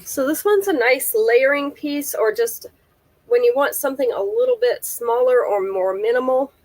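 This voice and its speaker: Woman, slightly high voice, stuffy nose, chatty live seller, detailed descriptions, close camera mic. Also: moderate pace, a little mellow. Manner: chatty, careful item-by-item descriptions.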